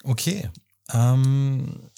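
A man in his thirties from Berlin, co-hosting a podcast and explaining things in a measured, slightly formal way. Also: medium pitch, creaky, warm tone, clean tone. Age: 30s. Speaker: podcast co-host. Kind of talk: casual podcast talk.

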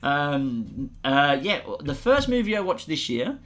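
A man's voice: fairly high pitch, very animated, a little slow, fairly bright tone. A man co-hosting a casual film podcast, joking playfully and often trailing off mid-thought. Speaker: podcast co-host.

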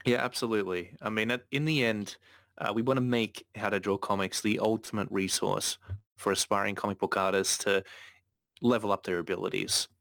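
A man's voice: lowish voice, fairly even intonation, fairly quick, creaky, a bit bright, no room sound, clean studio sound. A man hosting a casual podcast, talking earnestly with frequent self-corrections. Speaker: podcast host.

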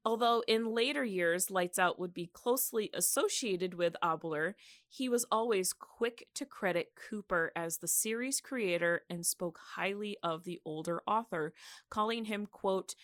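A woman's voice: mid-range voice, a little slow, a bit bright, dry recording, clean studio sound. A woman co-hosting a podcast, telling radio history in a casual, giggly, conversational way. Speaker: podcast co-host.

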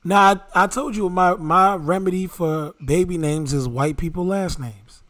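A man's voice: high voice, unhurried, background noise. A man co-hosting a podcast, talking in casual, laid-back banter and laughing often. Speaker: podcast co-host.

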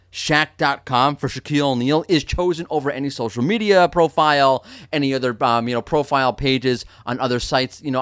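A man's voice: fairly high pitch, a bit bright, no room sound, clean studio sound. A man hosting a podcast in a casual, joking way, explaining things with lots of fillers and restarts. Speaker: podcast host.

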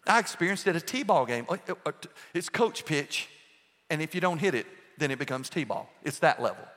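A pastor preaching a sermon, emphatic and full of rhetorical questions. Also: male voice, a little high, animated, a little fast, a bit bright. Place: church sanctuary. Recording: long reverb in a church hall.

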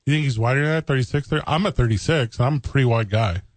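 A man's voice: medium pitch, fast talker, no room sound. A man from the St. Louis area co-hosting a casual sports podcast, riffing and joking. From St. Louis area, USA. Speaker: podcast co-host.

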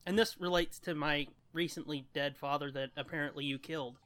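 A man's voice: on the high side, fairly even intonation, brisk, fairly bright tone. A man co-hosting a podcast in casual comedic chat, profane, irreverent and joking. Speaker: podcast co-host.

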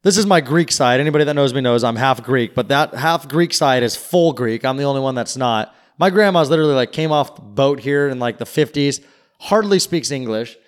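A man's voice: medium pitch, animated, brisk. A man casually telling a long, rambling story on a podcast and swearing freely. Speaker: podcast co-host. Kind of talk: casual chat.

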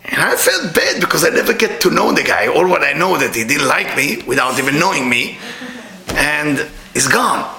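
A lively, bright-voiced man with an Israeli accent lectures a live audience, his pitch swinging widely and climbing whenever he mimics the people he quotes. He is middle-aged, his English loose in grammar. The sound rings around a roomy hall. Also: moderate pace, creaky. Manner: animated, leaps high to act out quoted people, non-native grammar.

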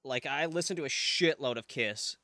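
A young man co-hosting a casual comedy podcast, animated and joking, swearing freely, with a high, slightly nasal little-brother voice. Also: moderate pace, no echo, clean studio sound. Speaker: podcast co-host.

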